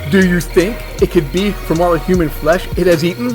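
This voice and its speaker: Man acting a cocky, high-pitched monster hunter. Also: a little fast, over music, natural voice. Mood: cocky, sarcastic. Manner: sarcastic, stubborn.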